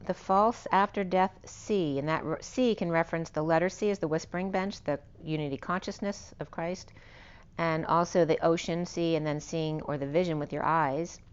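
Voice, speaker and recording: A woman with a hoarse, fading voice giving an unscripted spiritual tarot reading, meandering and correcting herself as she lists cards and numbers. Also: sits low, steady tone, moderate pace, highs cut off. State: losing her voice. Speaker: tarot reader.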